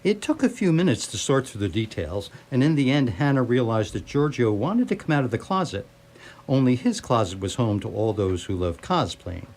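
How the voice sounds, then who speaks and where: A man narrates a comic radio drama from a script. His delivery is animated and varied in pitch, with a wry, playful touch. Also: moderate pace. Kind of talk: scripted radio theatre storytelling.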